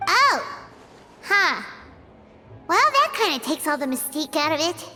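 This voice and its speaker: A woman's voice: extremely high pitch, big pitch swings, measured pace, pushed and bright, a little hiss or hum, full character voice. A woman voicing a tiny, childlike fairy companion in a video game, chirpy, curious and exclamatory. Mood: surprised. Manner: refers to self in third person, exclamatory. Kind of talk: animated video game voice acting.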